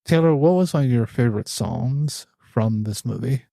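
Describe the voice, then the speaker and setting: A man with a mellow, warm tone and a mid-range pitch, hosting a relaxed podcast chat. He guides the conversation from point to point in an easygoing way. The recording is dry and close. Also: normal speed, vocal fry, no echo. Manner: relaxed, steers conversation to next topic. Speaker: podcast host. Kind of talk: casual podcast discussion.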